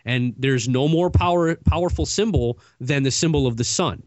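A man's voice: medium pitch, normal speed, dry recording. A man in a casual podcast chat, explaining at length and correcting the others, sighing when frustrated. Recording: dull lo-fi sound.

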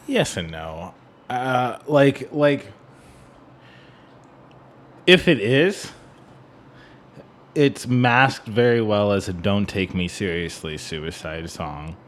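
A man's voice: lowish voice, animated, takes it slowly, pauses often. A man co-hosting a casual, analytical music talk in an informal, meandering way, often checking for agreement. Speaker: podcast co-host. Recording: faint background room noise.

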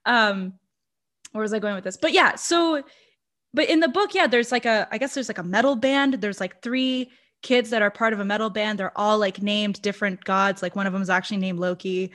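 A woman's voice: mid-range voice, brisk, fairly bright tone. A woman from Brazil, a podcast co-host, chatting about a horror movie with lots of fillers and laughter. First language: Portuguese. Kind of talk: casual movie review chat.

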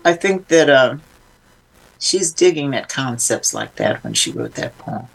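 A woman's voice: rumbling low, wildly swooping pitch, measured pace, gravelly, husky, faint music behind, rough recording. An older woman speaking as an interview guest, reflective and emphatic in a conversational way. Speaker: interview guest, filmmaker and nonprofit founder.

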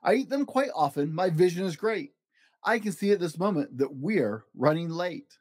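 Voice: mid-range voice, animated, normal speed, a little mellow, no room sound, clean studio sound, close to an everyday voice. A reader voicing an exuberant, eccentric man who pilots a balloon in a rhyming children's story, theatrical and full of exclamations. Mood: cheerful, brisk. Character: exuberant eccentric male balloon pilot, chief soup supervisor.

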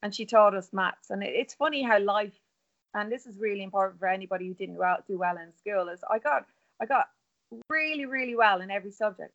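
A woman's voice: medium pitch, brisk, a bit bright, muffled recording. A middle-aged Irish woman talking earnestly and rambling as a guest on a remote podcast interview. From Ireland. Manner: chatty, rambling, earnest storytelling.

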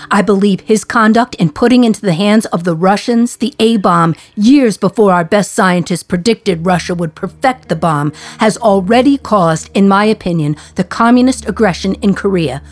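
A woman's voice: mid-range voice, natural voice. A woman voicing a stern male judge handing down a grave, emphatic sentence in a radio play.

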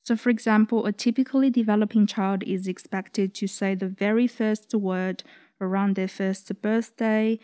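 A woman speech pathologist explaining things to parents in an earnest, measured way, with slightly grammar. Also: mid-range voice, steady tone, dark tone, clear, resonant tone, no room sound, studio quality. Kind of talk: explaining, informative podcast talk. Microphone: studio microphone.

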